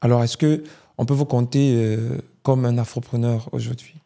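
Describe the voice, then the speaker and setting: A man's voice: medium pitch, steady tone, normal speed, gravelly, warm tone. A man hosting a business interview show, giving formal introductions and asking long probing questions. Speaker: interviewer host.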